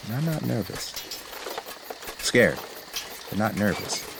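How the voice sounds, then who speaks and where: A man's voice: lowish voice, very animated, takes it slowly, creaky, noisy background, full character voice. A man playing a thoughtful, nerdy teenage gamer in a fantasy audio drama, with a dry, reflective manner.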